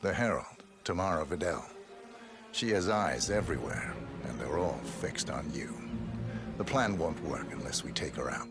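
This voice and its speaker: Game assassin: stoic grown man, bottom-of-the-range voice, clipped deadpan delivery, score behind. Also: extremely low pitch, normal speed. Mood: grave, guarded. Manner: terse, deadpan. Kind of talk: video game cinematic acting. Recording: music behind the voice.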